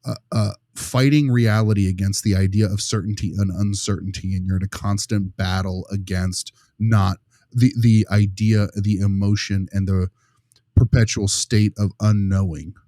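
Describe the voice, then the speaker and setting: A man with a fairly low voice argues his view in a loose, conversational discussion. He is emphatic and animated, often checking in with a quick "right?", and the sound is close and dry. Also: no echo. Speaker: panelist discussing a behavioral case study. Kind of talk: casual opinionated discussion.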